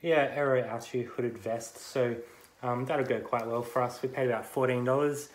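A man in his thirties with an Australian accent, casually talking through his thrift finds for a vlog, relaxed and full of ums. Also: mid-range voice, moderate pace, reverberant, rough recording. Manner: relaxed, many ums, chatty. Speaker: vlogger and reseller. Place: car, then a small living room. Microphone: phone camera at arm's length.

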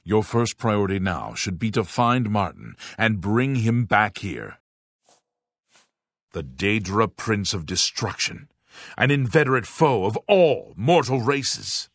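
A man playing a grave aging monk and former guard captain in a fantasy video game, speaking formally and deliberately with a husky voice. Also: fairly low pitch, lively intonation, a bit bright, no room sound, studio quality, full character voice. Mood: grave, helpful. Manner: formal, solemn, deliberate enunciation.

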